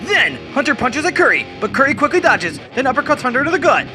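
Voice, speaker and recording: Comic book narrator, scripted dramatic delivery, rapid pace, music underneath. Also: high voice, big pitch swings, bright, forceful tone. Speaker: narrator and host.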